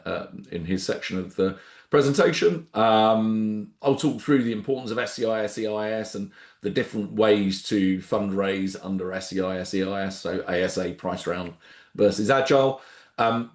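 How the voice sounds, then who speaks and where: Deep-voiced nasal man hosting a webinar. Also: reverberant. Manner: relaxed, rambling, frequent ums and restarts. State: congested with a head cold. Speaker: webinar host, startup founder. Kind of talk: casual webinar presentation. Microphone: video call.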